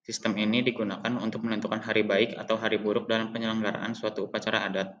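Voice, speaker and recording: A young man, a high school student, reading a school presentation aloud as an even, list-like recitation with a few slips and restarts. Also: fast, highs cut off. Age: young adult.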